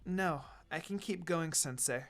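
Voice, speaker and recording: A man's voice: on the high side, unhurried, fairly bright tone, faint music behind. Man voicing an earnest, polite young ninja girl. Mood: determined. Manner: polite, determined.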